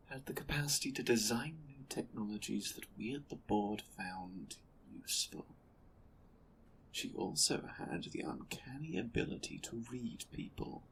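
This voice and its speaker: A man playing an imperious, menacing board leader in an audio drama, speaking in a condescending, deliberate, sardonic way. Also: lowish voice, a bit bright, slight background noise, rough recording. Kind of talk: audio drama roleplay acting.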